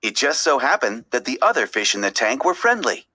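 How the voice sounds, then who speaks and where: A woman reading a children's story aloud as an expressive, dramatic narrator. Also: extremely low pitch, brisk, breathy edge. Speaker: storybook reader.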